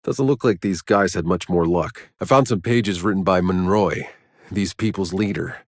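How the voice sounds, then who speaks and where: Gruff, sardonic game mercenary: low register, terse and wry delivery, dry studio sound. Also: male voice, moderate pace, dry recording. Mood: sober, matter-of-fact. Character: gruff, sardonic male mercenary survivor on a hostile planet. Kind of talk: video game voice lines.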